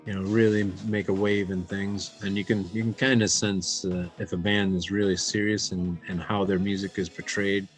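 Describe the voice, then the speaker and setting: A man's voice: sits low, flat delivery, moderate pace, few pauses, faint music behind. A man working as a concert promoter, talking casually with some hesitations in a documentary interview.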